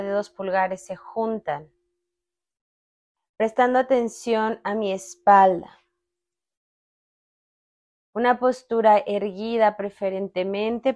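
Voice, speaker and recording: A woman narrating a guided meditation in a calm, instructional manner with long pauses between short phrases. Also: mid-range voice. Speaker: meditation guide, podcast host.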